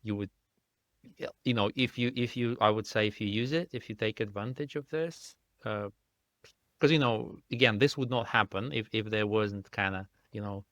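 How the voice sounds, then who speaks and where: A man from Russia whose first language is Russian, speaking English in a thoughtful, halting way with many restarts as an indie game developer guest. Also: on the low side, fairly bright tone, no room sound. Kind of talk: casual interview conversation. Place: lives in the UK.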